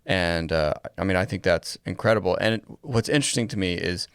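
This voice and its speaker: A man's voice: low-pitched, fairly quick, clear, resonant tone, dry recording, clean studio sound. A man speaking casually as a co-host in a conversational podcast discussion.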